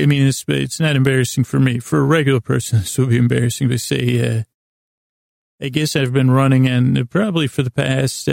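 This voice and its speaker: A man hosting a bedtime podcast in a rambling, halting monologue, gravelly and creaky, with long pauses, self-corrections and silly self-deprecation. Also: mid-range voice, a little mellow, no echo, clean studio sound. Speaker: podcast host.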